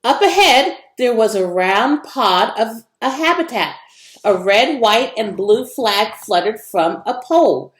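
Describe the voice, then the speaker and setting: Woman, children's read-aloud, expressive narration, lively swooping pitch, echoey room. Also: takes it slowly. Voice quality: animated, varied intonation. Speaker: educator reading a children's book aloud.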